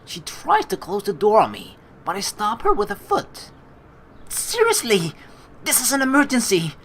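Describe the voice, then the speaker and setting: A young woman reading a visual novel aloud with joking, swearing asides, animated and quick to laugh. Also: medium pitch, slight background noise. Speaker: let's play streamer reading a visual novel.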